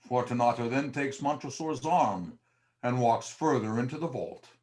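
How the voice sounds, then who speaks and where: A man's voice: medium pitch, moderate pace, a little mellow. A man narrating a period tale as a scripted dramatic storyteller.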